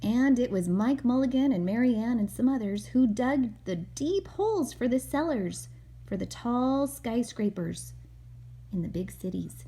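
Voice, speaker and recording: Mom reading a kids picture book out loud, soft mellow voice, bit husky, chats to her kid between lines. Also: a little high, lively intonation, measured pace. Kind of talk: storybook read-aloud with casual asides to a child.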